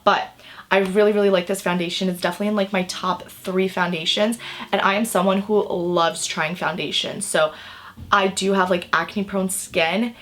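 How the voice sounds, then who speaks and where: A young woman vlogging about makeup she bought, her voice raspy and hoarse from a cold, chatting in a bubbly, rambling way. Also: medium pitch, fairly bright tone. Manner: bubbly, rambling, lots of "like" and "really, really". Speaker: beauty vlogger. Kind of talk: casual chatty haul video. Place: indoors under filming lights.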